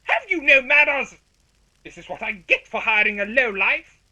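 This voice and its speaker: A man voicing a haughty, spiteful queen in a theatrical, drawn-out fan dub performance. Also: very high voice, lively intonation, sharp tone, exaggerated voice. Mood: indignant. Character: imperious spiteful queen, shrill, gloating and scheming. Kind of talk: anime-style game fan dub acting. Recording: music behind the voice.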